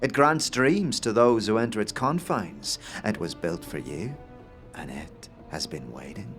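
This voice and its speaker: Man playing a grandiose, eccentric inventor, swooping pitch. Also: expressive, music in the background. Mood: solemn, cryptic. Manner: theatrical, grandiose, dramatic pauses. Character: wild-eyed, eccentric middle-aged male inventor, grandiose and cryptic. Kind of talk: fantasy audio drama acting.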